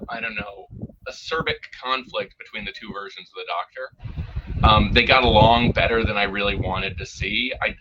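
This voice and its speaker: A man giving his opinions in a casual review discussion, often pausing and restarting as he thinks. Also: mid-range voice, highs cut off, background noise. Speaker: podcast guest reviewer.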